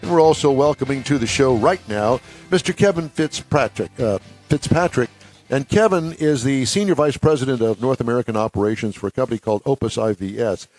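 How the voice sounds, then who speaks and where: A man's voice: medium pitch, a little fast, a little mellow, soft background music. A man hosting a radio talk show from a studio, folksy and joking, sometimes tripping over long introductions.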